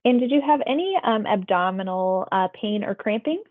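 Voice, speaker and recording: A woman's voice: mid-range voice, animated, normal speed. A woman working as a public health phone interviewer, asking survey questions in a polite, procedural, conversational way. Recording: tinny phone-like audio.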